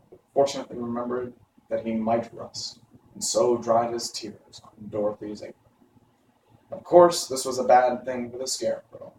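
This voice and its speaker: A man's voice: medium pitch, darkish tone. A man narrating a storybook, reading aloud. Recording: roomy, distant mic, faint noise.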